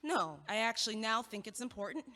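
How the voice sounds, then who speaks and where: A brisk, teasing young student, as a woman acts her out. Her voice is bright and lively, rising and falling freely. A long reverb trails each line. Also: on the high side. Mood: encouraging. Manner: brisk, lightly teasing, encouraging. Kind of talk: audio play acting.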